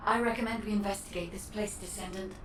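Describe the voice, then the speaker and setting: A woman's voice: medium pitch, fairly even intonation, a bit bright, far from the mic, slight background noise, natural voice. Echoey, formal woman as a female AI guide. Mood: earnest, composed. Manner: formal, measured, precise diction. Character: female AI guide, earnest, formal, helpful machine intelligence. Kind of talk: scripted video game dialogue.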